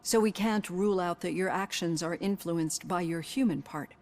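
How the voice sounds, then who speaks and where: An earnest woman doctor in a video game, played by an actress. Her voice is fairly low. She speaks in a measured, professional and reassuring way, composed like a practised psychologist. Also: a little mellow, close to an everyday voice. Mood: composed, coaxing.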